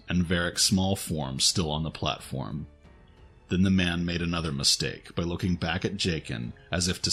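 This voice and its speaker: A man with a husky voice reading scripted fiction as a narrator. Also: low voice, fairly bright tone. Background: music.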